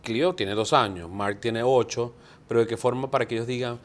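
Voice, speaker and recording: A man's voice: medium pitch, fairly even intonation, a little fast. A man hosting an interview, asking questions attentively and often backing up his guest. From Venezuela. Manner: attentive, frequent affirming "ujú". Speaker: interviewer, host. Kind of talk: casual interview chat.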